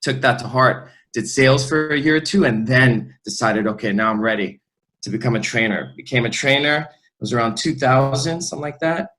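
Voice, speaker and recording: A man's voice: medium pitch, brisk, fairly bright tone. A man in his thirties, a fitness trainer, telling his life story in an easygoing, upbeat way as a podcast guest recorded remotely. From Lebanon, raised in the US. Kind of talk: casual interview.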